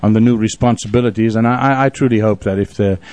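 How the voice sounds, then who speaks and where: Fast-talking older man hosting radio. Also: lowish voice, steady tone, a little mellow, no room sound. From South Africa. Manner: rambling, joking, repeats words. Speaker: radio show host. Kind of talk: casual talk radio conversation.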